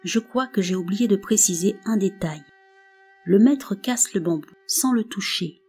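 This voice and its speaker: Frail old man, a woman reading him, wry, quiet, mellow husky tone. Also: mid-range voice, unhurried, faint music behind. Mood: dryly calm. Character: frail old male gatekeeper, dry and wry.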